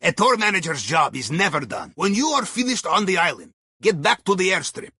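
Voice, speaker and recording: An actor playing a roguish, cheerful Russian submarine veteran and heist planner in a video game, with a theatrical, wry delivery. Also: male voice, high voice, very animated, brisk, pushed and bright, husky, dry recording, heavily acted. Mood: focused briefing. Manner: theatrical, wry, addresses listener as captain. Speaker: submarine crewman guiding a heist. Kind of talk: video game character voice lines.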